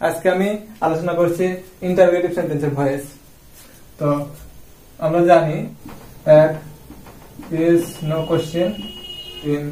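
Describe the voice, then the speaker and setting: A man's voice: on the high side, darkish tone, slight background noise. A man explains like a classroom teacher. He asks questions and then answers them himself. The sound is echoey and picked up at a distance from the microphone. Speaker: English grammar teacher. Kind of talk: classroom-style lesson explanation. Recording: roomy echo, distant microphone.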